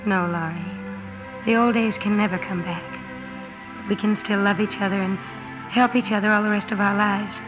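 A woman's voice: medium pitch, fairly even intonation, moderate pace, muffled recording, natural voice. An actress playing a sincere, passionate young woman writer in a 1950s radio drama, earnest and emotional. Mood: calm, resigned. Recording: old radio broadcast with music.

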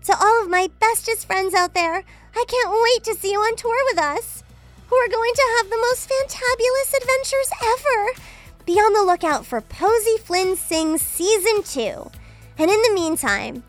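A woman playing a bubbly, sweet young girl singing star, gushing and excitable, in a children's audio drama. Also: extremely high pitch. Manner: gushing, emphatic, cheerful.

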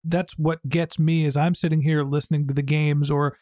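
A man's voice: on the high side, level tone, moderate pace, dark tone, no echo, studio quality. A middle-aged man hosting a podcast interview in a chatty, enthusiastic, conversational way. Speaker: podcast host.

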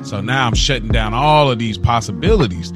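A man on a casual podcast, calmly reasoning through advice to the hosts. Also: mid-range voice, fairly quick, music in the background. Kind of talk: casual chat, giving advice.

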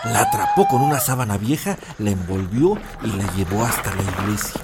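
A man's voice: lowish voice, wildly swooping pitch, normal speed. An actor playing a grandfather who narrates an eerie folk legend to his granddaughter in an audio drama. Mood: ominous, grave.

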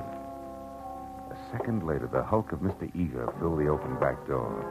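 Wry, hard-boiled American gumshoe, very low voice, clipped slangy delivery, vintage radio drama with music behind. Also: male voice, poor audio. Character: tough, wry hard-boiled male private eye. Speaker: lead actor and narrator. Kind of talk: 1940s radio detective drama acting and narration. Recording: old radio broadcast with music.

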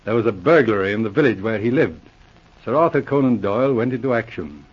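An actor playing a patient, methodical Mountie sergeant who teases dryly, in 1940s radio acting. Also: male voice, on the low side, expressive, fairly quick, husky. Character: methodical, dry-witted male Mountie sergeant. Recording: dull lo-fi old radio broadcast.

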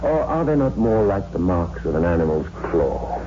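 A deep-voiced, mellow man guarding a dark secret in a vintage radio horror play. He speaks formally and ominously, with measured gravity, on a dull, hissy old recording. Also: big pitch swings. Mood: ominous, explanatory.

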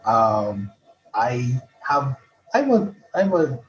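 A man from Barbados, a poet guest in a casual interview, talking haltingly with many restarts and repeated words. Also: medium pitch, sing-song, highs cut off, faint music behind.

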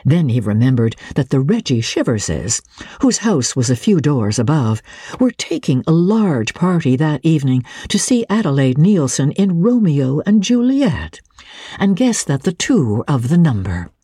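A woman narrates literary fiction with dramatic expression. Her voice is very husky and mellow, and her pitch moves about freely in a lively reading. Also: a little slow, dry recording, studio quality. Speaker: audiobook reader.

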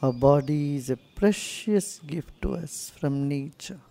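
Slow, low-voiced woman guiding meditation. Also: vocal fry, soft background music. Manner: soothing, gently instructing. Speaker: meditation guide. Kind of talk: guided meditation.

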